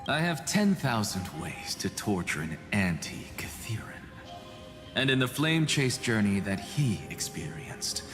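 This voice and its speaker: An actor playing a smug, scheming scholar-alchemist in a video game, flamboyant and self-satisfied, sometimes dropping to a breathy hush. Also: male voice, mid-range voice. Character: smug, theatrical male scholar-alchemist, gleefully scheming showman. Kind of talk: dramatic video game dialogue. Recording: reverberant hall sound under music.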